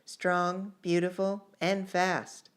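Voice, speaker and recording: A man's voice: high-pitched, completely flat delivery, slow pace, a bit bright, smooth. A man reading as a passionate woman officer. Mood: assured. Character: passionate, reassuring female army officer.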